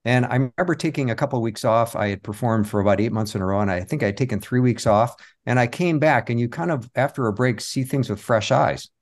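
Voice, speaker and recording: A man speaking as an interview guest, reflective and halting as he tells stories of his work in conversation. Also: medium pitch. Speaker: interview guest, comic magician and stage show creator.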